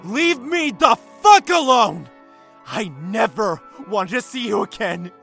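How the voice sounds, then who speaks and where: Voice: mid-range voice, animated, takes it slowly, vocal fry, a bit bright, faint music behind, heavily acted. A performer playing a heartbroken, horrified young man in an audio drama, agitated and stammering. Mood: horrified, furious.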